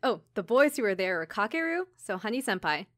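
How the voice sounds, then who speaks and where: A woman's voice: medium pitch, big pitch swings, brisk, dry recording, clean studio sound. A woman podcast co-host telling a story in casual chat, informally, with lots of "like" and quoted asides. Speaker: podcast co-host recapping an anime episode. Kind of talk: casual chat, episode recap.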